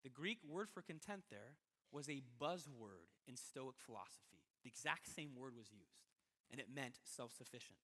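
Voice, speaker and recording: A man's voice: medium pitch, animated, moderate pace, a bit bright. A man preaching a sermon as a pastor, talking in a conversational but emphatic way with rhetorical questions. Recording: reverberant hall.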